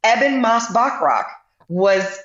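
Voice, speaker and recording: Relaxed, rambling woman guest in an echoey room. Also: fairly high pitch, slow pace, lo-fi sound. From US Midwest. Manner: relaxed, rambling storyteller with many fillers. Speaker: guest actor. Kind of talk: casual podcast interview.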